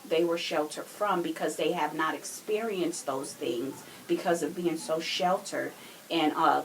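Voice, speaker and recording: A woman with a fairly low voice talks on a casual podcast. She explains her views in an earnest way, with faint noise behind her. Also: rough recording. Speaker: podcast co-speaker.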